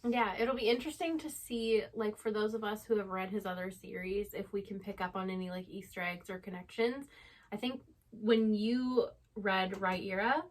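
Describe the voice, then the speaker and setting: A woman's voice: mid-range voice, moderate pace. A woman co-hosting a book chat, explaining things conversationally with plenty of filler "like". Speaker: book channel co-host.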